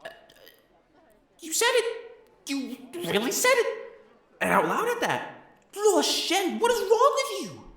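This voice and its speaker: A man's voice: very high voice, wildly swooping pitch, slow pace, long pauses, fairly bright tone, exaggerated voice. A man playing a proud, possessive noble fiancé in a romantic audio roleplay, haughty and formal in speech and easily flustered.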